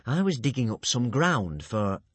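A man voicing a sly male schemer who poses as a poor but honest farmer, smooth and crafty. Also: medium pitch, big pitch swings, a little slow, no echo.

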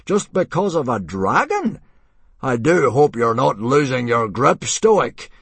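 A man voicing a grumpy, sneering rival Viking chief in a storybook, booming with indignation. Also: sing-song, slow pace, fairly bright tone, slightly rough, no room sound, heavily acted. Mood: sneering, disapproving.

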